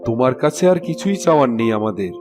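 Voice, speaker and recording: A man's voice: mid-range voice, very animated, fast talker, music in the background, natural voice. A man voicing a caring but commanding king in a Bangla cartoon fairy tale.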